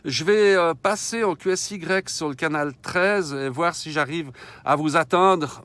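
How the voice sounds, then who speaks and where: A man's voice: fairly high pitch, a bit bright, clear, resonant tone. A man operating an amateur radio from a vehicle, chatting in call signs and procedure words. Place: in a vehicle, mobile radio station.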